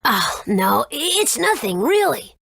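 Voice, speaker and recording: Raspy, high-voiced sweet child. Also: female voice, sing-song, slow pace, fairly bright tone, heavily acted. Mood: bashful, fond. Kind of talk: video game voice acting.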